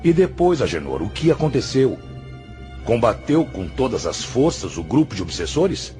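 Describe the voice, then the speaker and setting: A man's voice: medium pitch, very animated, moderate pace, mellow, natural voice. A man acting in a radio drama as a stern, patient spiritual master, speaking in a solemn, admonishing and didactic way. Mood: probing. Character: stern, patient male spiritual master, grave moral counselor. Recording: music under the voice.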